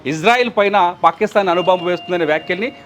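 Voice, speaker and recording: A man speaks as a news analyst in a high voice. He talks quickly, explaining the situation and repeating points for emphasis. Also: a bit bright, slight background noise. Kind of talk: live news analysis and commentary.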